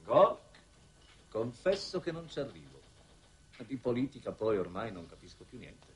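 A grumbling male war minister played by an actor in an old TV drama. He is baffled and swings widely in pitch, over a faintly noisy vintage soundtrack. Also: sing-song, normal speed, close to an everyday voice. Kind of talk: 1960s Italian television drama acting.

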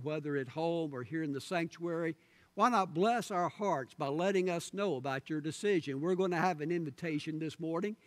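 A middle-aged male pastor earnestly pleading with his congregation from the pulpit, recorded on a pulpit microphone in a large church sanctuary. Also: fairly high pitch. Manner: earnest, pleading appeals with pauses. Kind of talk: sermon invitation and prayer. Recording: long sanctuary reverb.

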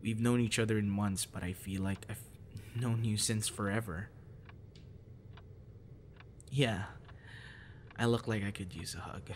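A young man acting a busy, guarded friend in an intimate audio roleplay, with halting, stammering delivery. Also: fairly low pitch, fairly even intonation, slight background noise, close to an everyday voice. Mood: tender, soft.

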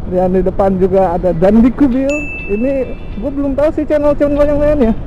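A man's voice: sits high, highs cut off. A young man from Indonesia chatting casually and jokingly while riding a motorcycle, speaking through a helmet-mounted camera mic with wind and engine noise. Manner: slangy, joking, laughs often. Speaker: motovlogger. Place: outdoors on a motorcycle on a tree-lined road. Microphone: helmet-mounted action camera mic.

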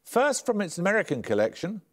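An older man, the host of a studio quiz show, reads each question crisply and evenly. His voice sits a little high for a man, and he sounds dryly amused when teams get it wrong. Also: sing-song, brisk. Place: television studio.

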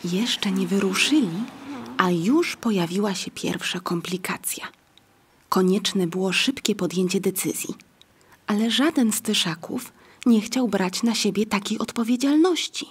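Female narrator for a children's tale, mid-pitched, very husky and breathy, reading from script. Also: measured pace.

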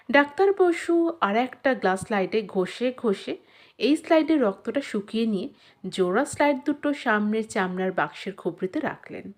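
A woman narrating a literary short story in an expressive scripted style, measured and with dramatic pauses. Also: a little high.

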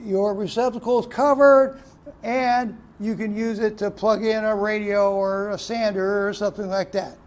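A man hosting a casual how-to video, talking in a relaxed, chatty way with many "uh"s and "okay"s while working off screen in a house under renovation. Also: high-pitched, normal speed. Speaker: electrician and video host.

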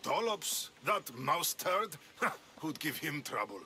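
Sharp, scornful bull-headed villager. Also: male voice, fairly high pitch, sing-song, slow pace, heavily acted. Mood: mocking. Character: scornful bull-headed male Greek neighbour, blustering and mocking. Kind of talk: video game voice acting. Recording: faint ambient noise.

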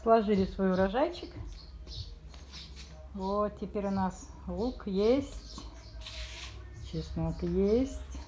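A woman's voice: medium pitch, extremely slow, long pauses, dark tone, soft background music. A woman chatting in a homely way as she films her garden, often using diminutives. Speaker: vlogger showing her garden. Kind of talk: casual vlog commentary. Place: outdoor rural garden and yard. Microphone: phone camera held while filming.

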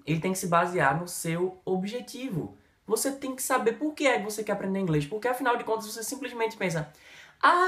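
A Brazilian man in his thirties with a Brazilian Portuguese accent, an English teacher giving an emphatic, energetic lesson straight to the camera. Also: high-pitched, roomy sound. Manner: lively, emphatic, talks straight to the viewer with many gestures. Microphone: camera in front, head and shoulders framing.

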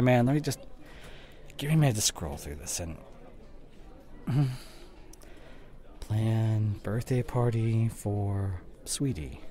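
Young male boyfriend played by a male actor for audio roleplay: forgetful, dismissive gamer, slangy and coaxing, unhurried delivery with lively pitch swings. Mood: distracted, puzzled. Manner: casual, slangy, coaxing, drawn-out phrasing.

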